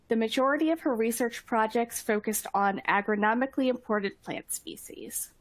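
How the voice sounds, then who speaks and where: A woman's voice: on the high side, dry recording. Woman webinar host, dry close sound. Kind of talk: scripted webinar introduction.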